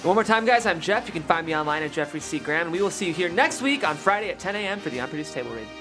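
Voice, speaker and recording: A man's voice: on the high side, sing-song, rapid-fire, over music. A man hosting a podcast in casual interview chat. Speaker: podcast host.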